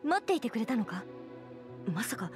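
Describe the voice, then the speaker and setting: A woman's voice: fairly high pitch, big pitch swings, normal speed. A woman playing a dutiful young knight commander in an anime game, speaking with formal, stoic phrasing. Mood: earnest, composed. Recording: game audio with music behind.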